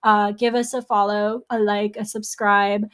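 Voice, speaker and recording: A young adult woman hosting a solo podcast, chatty and upbeat, her voice a little hoarse from a dry throat. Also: medium pitch, level tone, unhurried. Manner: chatty, upbeat, with fillers and laughs. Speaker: podcast host. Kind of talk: casual solo podcast talk.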